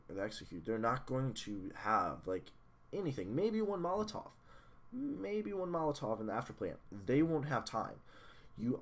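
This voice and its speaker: A man reviewing a match replay as a coach, blunt and analytical, a little hoarse from losing his voice. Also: lowish voice. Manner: analytical, blunt, swears at software glitches. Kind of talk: casual match review commentary.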